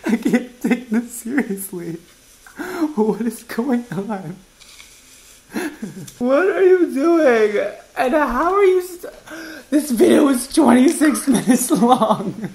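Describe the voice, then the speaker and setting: Campy 20s guy doing reaction video, super animated up and down voice, bit roomy. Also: very high voice, slow. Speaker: hairdresser and video host. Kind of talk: casual reaction commentary to camera. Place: indoor studio with paper backdrop.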